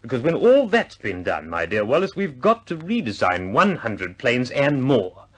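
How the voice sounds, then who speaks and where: Blustering British official, swooping delivery. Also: male voice, medium pitch, wildly swooping pitch, normal speed, a bit bright, slightly rough, full character voice. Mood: emphatic. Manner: stammering, blustering, emphatic. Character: blustering, exasperated senior British industrial official. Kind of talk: vintage radio drama acting.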